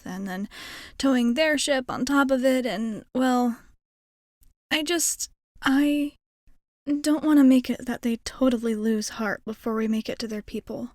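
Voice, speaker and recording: A woman's voice: on the high side, dry recording, studio quality, lightly acted. A woman playing a shy, nervously hopeful young alien space traveler in an ASMR roleplay, rambling hesitantly and putting herself down. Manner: hesitant, rambling, self-effacing. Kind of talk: ASMR roleplay, intimate character monologue.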